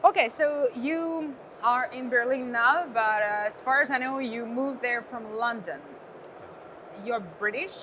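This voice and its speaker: A woman's voice: a little high, measured pace, fairly bright tone, poor audio. A woman with a Russian accent, a native Russian speaker, asking questions as a casual, conversational interviewer. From Saint Petersburg, Russia. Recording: clear background noise, busy venue ambience.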